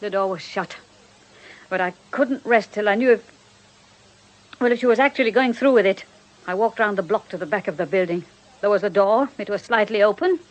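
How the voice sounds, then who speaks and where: A woman's voice: medium pitch, brisk, long pauses, close to an everyday voice, rough recording. A woman playing an elderly housekeeper and former nanny in a radio drama, devout, bitter and grieving, with fervent righteousness and a servant's deference. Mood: distraught. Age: older.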